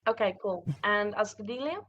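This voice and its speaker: A woman running a tabletop game as game master, narrating casually in halting, improvised, informal descriptions. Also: medium pitch, lively intonation, a bit bright, slight background noise. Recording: dull lo-fi audio.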